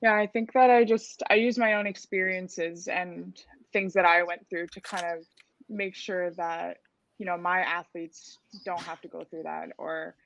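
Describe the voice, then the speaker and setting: A woman's voice: on the low side, fairly even intonation, unhurried, vocal fry, no echo. A woman who coaches athletes, speaking steadily on a panel. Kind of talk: casual panel talk. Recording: muffled with faint hiss.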